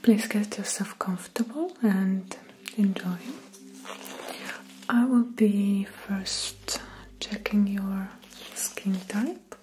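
Young female, ASMR clinician role play: mellow, breathy near-whisper, unhurried and soothing, faint background noise. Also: medium pitch, poor audio. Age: young adult. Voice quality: soft, breathy, half-whispered. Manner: slow, gentle, soothing, with pauses. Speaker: ASMR performer playing a dermatologist. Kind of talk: soft-spoken ASMR medical role play. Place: small room indoors.

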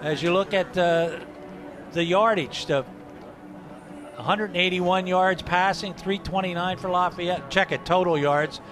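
A man's voice: high voice, unhurried. A man giving color commentary and reading game statistics on a broadcast.

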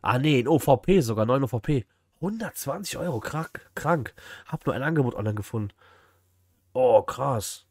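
A man's voice: mid-range voice, lively intonation, normal speed, dry recording. A man doing casual live-stream reaction commentary, chatting with viewers in short colloquial exclamations. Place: indoor streaming room. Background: outdoor flea market video noise.

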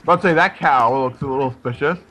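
A man's voice: medium pitch, a little fast, very noisy. A young man chatting casually while playing a game with friends, goofy and teasing, often repeating himself. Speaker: gamer. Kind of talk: casual gaming chat with friends.

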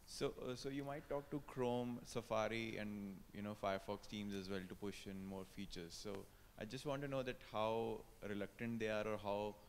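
Man, audience question, lowish voice, far in a reverberant hall, faint room noise. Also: fairly even intonation, normal speed, hardly any pauses. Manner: rambling, searching for words. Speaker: audience member asking a question. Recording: distant mic in a reverberant hall.

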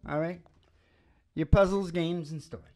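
A man's voice: fairly high pitch, fairly even intonation, moderate pace, dark tone. An older man hosting a live radio show, bantering in a casual, rambling, playful folksy way into a stand microphone. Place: small indoor stage at a live show.

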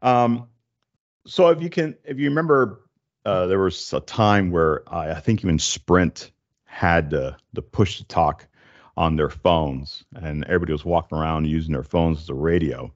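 A man in his forties hosting a casual tech explainer, speaking in a halting, stop-and-start way into a close desk microphone. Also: deep voice, muffled recording. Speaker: tech show host. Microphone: desk condenser microphone, close, with headset.

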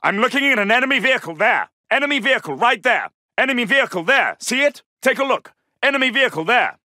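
A man's voice: very high-pitched, big pitch swings, fast talker, sharp tone, no room sound, clean studio sound, heavily acted. A man voicing an alert soldier in a video game, giving clipped, urgent military callouts. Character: alert, gruff British male Second World War soldier. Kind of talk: shouted combat callouts.

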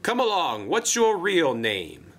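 A fussy, pompous railway controller, voiced by a man, with a high, bright, forceful tone. His speech rises and falls all over the place as he bustles along in a brisk, formal manner for a storybook tale. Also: wildly swooping pitch, exaggerated voice.

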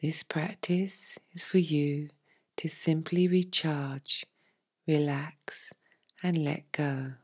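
A woman leading a guided meditation as a teacher, in a soft, breathy, hushed and soothing voice with long pauses. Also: deep voice, fairly even intonation. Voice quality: soft, breathy, near-whispered. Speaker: yoga nidra meditation teacher.

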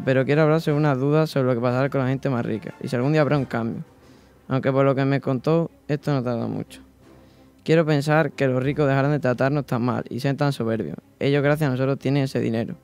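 A man's voice: mid-range voice, gravelly, a little mellow, close to an everyday voice. A teenage boy from Spain playing a humble, weary craftsman of the 1790s, reading a first-person letter aloud in a small school radio studio. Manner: plain reading-aloud delivery with small slips. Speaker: student reader on school radio. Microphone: desk microphone, headphones.

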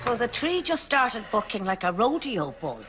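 An actress playing a sympathetic, naive middle-aged neighbour with an Irish accent in a sitcom. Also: female voice, a little high, sing-song, normal speed, music in the background, crowd noise. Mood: credulous. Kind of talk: sitcom acting. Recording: audience and noise behind.

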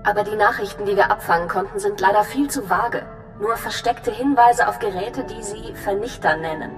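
A serious, composed female intelligence officer acted by a woman delivers a sci-fi game briefing. Her delivery is crisp and official at a middle pitch, in a long reverb with music behind. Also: normal speed, lightly acted.

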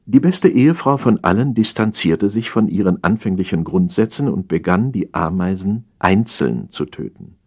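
A man narrating a humorous satire as an audiobook reader, in an expressive, measured storytelling manner. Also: sits low, husky.

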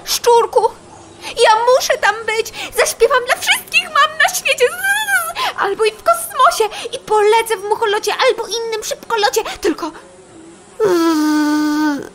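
Tiny female housefly for a children's audio play: squeaky high, slow, melodramatic and unsure of herself. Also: lively intonation, fairly bright tone, exaggerated voice. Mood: excited. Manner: theatrical, hesitant, buzzing stammer. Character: dreamy, insecure, melodramatic little female housefly singer.

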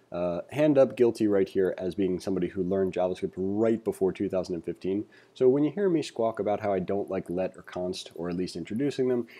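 A man with a fairly low, very mellow voice works as a programming tutor. He reads instructional text aloud and comments on it informally, with casual, self-deprecating asides.

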